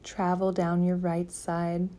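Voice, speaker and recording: A woman leading a guided meditation, giving calm, gentle, soothing instructions with long pauses between phrases. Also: lowish voice. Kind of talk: guided yoga nidra meditation.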